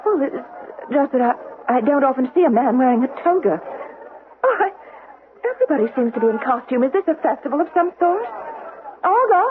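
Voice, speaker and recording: A woman's voice: high-pitched, animated, brisk. Hesitant young woman, swooping, tinny radio. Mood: bewildered. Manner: hesitant, earnest, polite. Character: hesitant young female history teacher, bewildered tourist. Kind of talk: 1970s radio drama acting. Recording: tinny band-limited old radio, clear noise.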